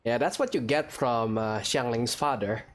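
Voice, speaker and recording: Very low-voiced Indonesian-accented woman gamer. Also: moderate pace, faint music behind. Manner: chatty, playful. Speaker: gaming video host. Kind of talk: casual gameplay commentary.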